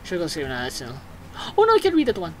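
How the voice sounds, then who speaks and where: A woman doing let's play commentary, excitable and sweary, repeating phrases rapidly as she reacts and reads game text. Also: on the low side, a little hiss or hum. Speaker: video game streamer. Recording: game music behind the voice. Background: video game music.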